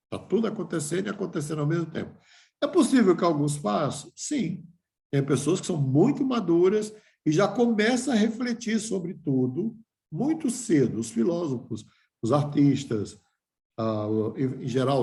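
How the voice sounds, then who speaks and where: A man's voice: medium pitch, mellow, reverberant. An older man giving a reflective lecture to a class, asking himself questions and restarting phrases.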